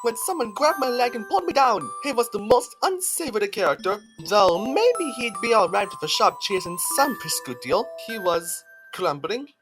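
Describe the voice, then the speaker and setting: A woman's voice: fairly high pitch, big pitch swings, moderate pace, hardly any pauses, music in the background. A woman voicing a melodramatic, rambling male pastry chef in a cartoon fandub. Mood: dramatic.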